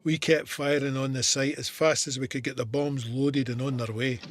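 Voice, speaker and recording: An actor with a Scottish accent playing a soldier who narrates his war memoir in a measured, vivid, plain-spoken way. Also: mid-range voice, steady tone, slight background noise, close to an everyday voice. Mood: warm, reflective. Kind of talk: scripted first-person war memoir narration.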